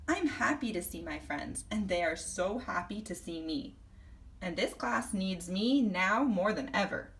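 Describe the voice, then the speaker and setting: A woman reading a children's story aloud in first person, expressively and deliberately, acting out the tale. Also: mid-range voice. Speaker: storybook reader.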